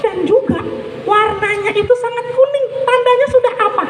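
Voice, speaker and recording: An eager little storybook turtle, squeaking at an extremely high pitch. It is cheerful, wide-eyed and determined, full of exclamations, in an echoey hall with clear noise behind. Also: female voice, normal speed. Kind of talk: live children's storytelling character voice.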